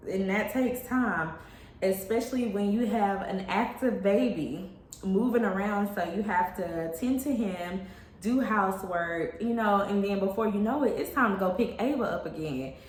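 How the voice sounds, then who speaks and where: A woman's voice: mid-range voice, normal speed, a little hiss or hum. A woman in her thirties, a stay-at-home mom, chatting casually to camera about her days at home, addressing viewers as y'all. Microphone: phone camera. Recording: roomy home with faint ambience.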